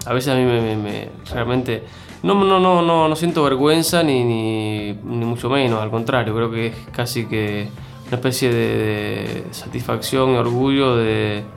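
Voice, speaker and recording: A man's voice: mid-range voice, unhurried, vocal fry, faint music behind. A middle-aged man from Cuba with a mixed Cuban and Argentine accent, talking as an interview guest with many fillers and restarts. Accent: Cuban with Argentine mix. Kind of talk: conversational interview.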